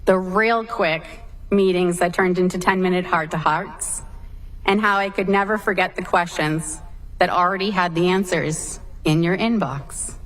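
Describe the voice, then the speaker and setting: A woman on the school staff giving warm, affectionate farewell remarks to graduates, with a light joke. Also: lowish voice, fairly even intonation, a little slow.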